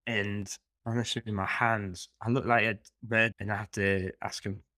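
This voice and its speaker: Male podcast co-host, lowish pitch, chatty storyteller, dry booth sound. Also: little pitch movement, normal speed, pushed and bright, no room sound. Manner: rambling anecdotal storyteller. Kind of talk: casual chat.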